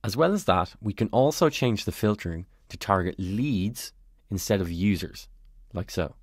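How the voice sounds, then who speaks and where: A man calmly narrating a step-by-step software tutorial as a voice-over on a screen recording. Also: on the low side, moderate pace, dry recording, clean studio sound. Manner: calm, step-by-step explanatory.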